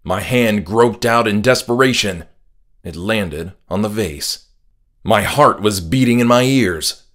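A man's voice: fairly low pitch, takes it slowly, fairly bright tone. A man reading a horror audiobook as a dramatic first-person storyteller. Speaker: author reading own audiobook. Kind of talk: scripted horror audiobook narration. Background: music.

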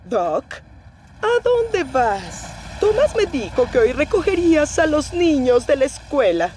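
A woman's voice: sits high, big pitch swings, a little slow, darkish tone, a little hiss or hum. A woman voicing a fussy diesel railcar in a Latin American Spanish cartoon dub. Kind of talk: animated children's cartoon dubbing.

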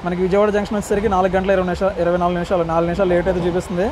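Male travel vlogger, Andhra Telugu accent, high-pitched and chatty, casual delivery over clear train and outdoor noise. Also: level tone, racing. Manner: chatty, addresses viewers directly. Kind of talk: casual travel vlog commentary. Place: on board a moving train. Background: train rumble and outdoor ambience.

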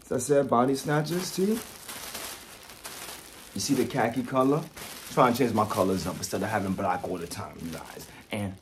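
A man's voice: lowish voice, moderate pace, pauses often, background noise. A man, around his thirties, talking casually to viewers in a boastful, emphatic vlog style, often repeating his phrases. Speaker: vlogger, product reviewer.

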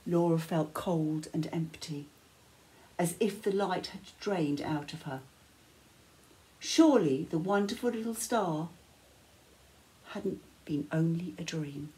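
An older woman reading a children's bedtime story aloud with measured, dramatic phrasing and pauses. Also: lowish voice, dark tone, rough recording. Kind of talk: expressive picture-book read-aloud. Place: small living room, indoors.

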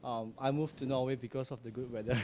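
A man's voice: medium pitch, fairly even intonation, fast talker, noisy background. A man in his thirties, from Malaysia, giving a friendly conference talk in a large lecture hall, with plenty of ums and light jokes. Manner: friendly, joking, many ums and uhs. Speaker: subsea processing engineer. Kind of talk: conference presentation. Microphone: headset.

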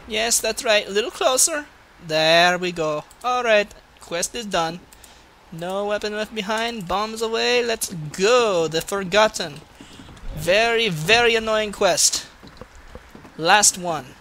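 A man's voice: high-pitched, slow pace, sharp tone, a little hiss or hum, full character voice. A man putting on a Russian accent as a crude, boastful, grumbling gamer, giving comic gameplay commentary in broken English. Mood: taunting, excited. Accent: put-on Russian. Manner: crude, swearing, mock-menacing, broken English.

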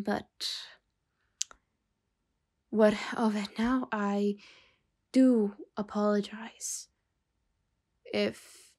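A woman playing a stern but softening mafia boss in an intimate ASMR roleplay, speaking in measured phrases with pauses, often nearly whispering. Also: fairly high pitch. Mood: apologetic, calm.

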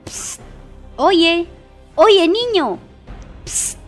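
A woman's voice: very high voice, expressive, drawn-out words, soft background music, full character voice. A woman playing a coaxing, chummy personified drug who poses as a friend in an educational radio play. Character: seductive personified marijuana, female, coaxing false friend. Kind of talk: educational radio soap acting.

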